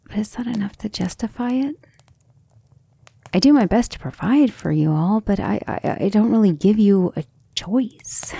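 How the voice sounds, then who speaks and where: Woman playing a soft, breathy, gentle female vampire. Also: fairly low pitch, dry recording, full character voice. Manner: soft, hesitant, tender, often breathy near-whisper. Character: gentle, earnest, self-doubting female vampire, devoted lover. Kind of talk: ASMR roleplay, intimate scripted monologue.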